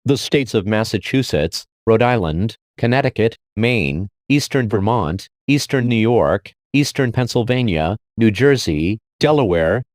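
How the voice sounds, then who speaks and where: A man's voice: medium pitch, no echo, clean studio sound. A man delivering a scripted emergency alert as an announcer.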